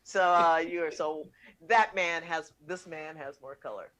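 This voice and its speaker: A woman's voice: low voice, measured pace, fairly bright tone. A woman in her fifties hosting a comedy chat, casually bantering in a brash, teasing, sweary way. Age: 50s. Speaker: comedy show host.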